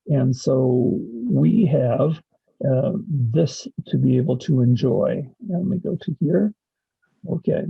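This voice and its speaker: Gravelly, husky man giving an informal presentation. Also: mid-range voice, slow pace, lo-fi sound. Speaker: college instructor and radio club advisor giving a presentation. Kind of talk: informal slide presentation, explaining.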